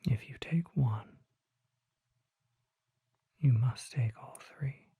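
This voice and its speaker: A mysterious vampire apothecary, acted by an ASMR performer, whispers with solemn patience. The delivery is slow, deliberate and formally worded. The sound is very soft and dark, close and dry, full of breath. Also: mid-range voice, husky, no room sound, exaggerated voice. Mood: solemn, gentle.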